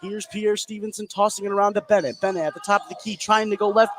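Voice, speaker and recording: High-voiced man calling basketball play-by-play. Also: steady tone, quick pace. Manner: present-tense running call, voice rising on big plays. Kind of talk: live radio sports commentary. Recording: clear background noise, live gym ambience. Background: ambient noise of a basketball game.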